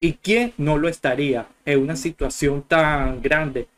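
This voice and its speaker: A man's voice: a little high, fairly even intonation, unhurried, slight background noise. Young man chatting about football clips. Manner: chatty, rambling with restarts. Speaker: football channel host. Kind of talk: casual video commentary. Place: small room at a desk.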